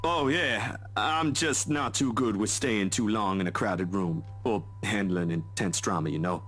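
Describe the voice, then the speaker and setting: A man's voice: fairly low pitch, a bit bright, faint music behind. A man voicing a broad-built, awkward young man who halts and stammers. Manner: halting, stammering speech.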